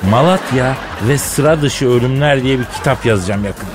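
A man's voice: mid-range voice, moderate pace, background noise. A man hosting a comic radio show, bantering and interviewing in a teasing, mock-scolding colloquial way. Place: radio studio. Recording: studio voice over background music.

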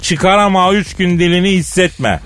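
A man's voice: high voice, normal speed, a bit bright. A man co-hosting a radio show in casual comic banter, colloquial and teasing, given to mock indignation. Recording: music bed behind the voice. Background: music.

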